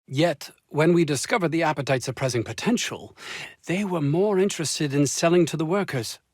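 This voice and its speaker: An actor playing a pompous, ambitious, anxious research scientist in a video game, speaking with haughty, fussy, old-fashioned formal diction. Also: male voice, a little high, fairly quick, a little mellow, no room sound, studio quality, lightly acted. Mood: disdainful, irritated. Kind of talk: theatrical game dialogue.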